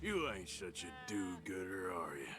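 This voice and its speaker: Slow, menacing outlaw debt collector. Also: male voice, low voice, sing-song, over loud music. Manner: threatening, slow drawling delivery. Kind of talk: Western video game acting. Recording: game audio under noisy ambience.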